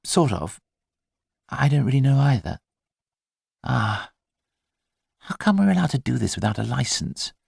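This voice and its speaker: A man voicing a weary, gently wry new mother in an audiobook, soft-edged and hesitant with dry humour. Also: medium pitch, big pitch swings, unhurried, vocal fry, pauses often, husky, no room sound, pitched above the performer's own voice, full character voice. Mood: gentle, unsure. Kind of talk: audiobook character dialogue.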